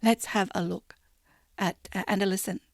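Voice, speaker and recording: A woman spiritual teacher giving an informal talk, chatty with fillers and restarts, turning soft and hushed when she reads prayer lines. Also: medium pitch, fairly even intonation, normal speed, vocal fry.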